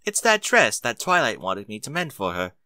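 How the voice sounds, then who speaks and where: A man's voice: lowish voice, huge pitch swings, moderate pace, bright, forceful tone, no echo, studio quality, exaggerated voice. A man voicing a refined, polite lady with a fussy, genteel manner for a story reading. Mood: polite, gracious.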